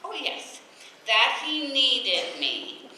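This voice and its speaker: An actress playing a chatty, scatterbrained wife in a radio domestic comedy, guileless and placating. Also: female voice, a little high, big pitch swings, extremely slow, heavily acted. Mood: flustered. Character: chatty, scatterbrained wife defending her mother. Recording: very echoey, distant, faintly noisy radio-play recording.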